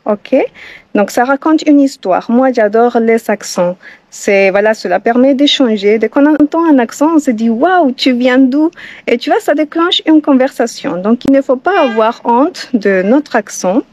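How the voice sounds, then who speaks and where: A woman's voice: on the high side, animated, measured pace, a little mellow, no room sound. A Colombian woman with a Spanish accent in French, giving a casual bilingual Spanish lesson outdoors and repeating each phrase in both languages. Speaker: Spanish teacher and channel host. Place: outdoors, by the water, barefoot.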